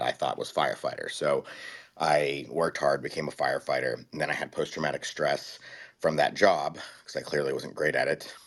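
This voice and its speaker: A man, a guest, telling his story in a laid-back, rambling way with many fillers and restarts. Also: very deep voice. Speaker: guest, writer-actor-producer. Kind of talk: casual interview storytelling.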